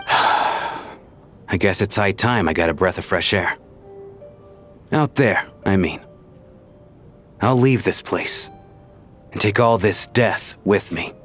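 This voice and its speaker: An actor playing a gentle, weary dead man at peace, resigned and wistful, in a video game dub. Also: deep voice, takes it slowly, long pauses. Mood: resolved, resigned. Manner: wistful, resigned, plain-spoken. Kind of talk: English game dub voice acting. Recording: music under the voice.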